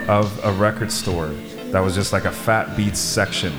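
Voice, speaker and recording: A man's voice: sits low, steady tone, normal speed. A middle-aged man from Iceland, a guest DJ talking casually on a radio show in a laid-back, slangy, enthusiastic way. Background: music.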